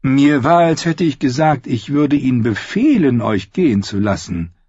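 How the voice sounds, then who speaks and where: A man voicing a calm outlaw singer in an audiobook, teasing and falsely courteous. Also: mid-range voice, moderate pace, breathy edge, no echo, lo-fi sound, voice pushed up. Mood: wryly mocking. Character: wry outlaw singer, mockingly polite and calm. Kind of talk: audiobook character voice.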